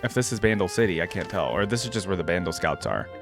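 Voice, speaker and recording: A man's voice: low voice, expressive, quick pace, over music. A man hosting a reaction video, giving casual commentary and musing aloud.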